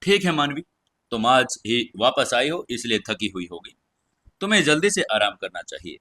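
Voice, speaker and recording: A man's voice: medium pitch, sing-song, moderate pace, bright, forceful tone. A man voicing a persuasive, scheming magician teacher in an audio story, insistent and coaxing. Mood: gently instructing.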